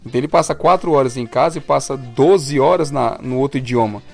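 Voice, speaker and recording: A Brazilian man talks through his ideas in a relaxed podcast conversation. He sits at a middle pitch, explains at length and sometimes restarts a sentence. Soft music plays faintly behind him. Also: fast, gravelly. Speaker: podcast co-host. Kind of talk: casual podcast chat.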